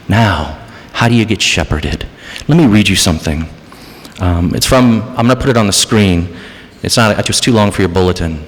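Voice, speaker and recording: A man preaching a sermon as pastor, dramatic, moving between hushed passages and raised, emphatic ones. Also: on the low side, a little hiss or hum. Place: church sanctuary. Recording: church hall reverb.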